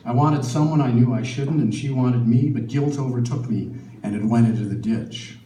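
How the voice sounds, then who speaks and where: A middle-aged man with an American accent reads from his own memoir at a live event. His voice sits at an average pitch. It is picked up from a little distance in an echoey room, with faint noise behind it. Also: dark tone. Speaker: author reading from own book. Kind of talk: live literary reading of a personal memoir.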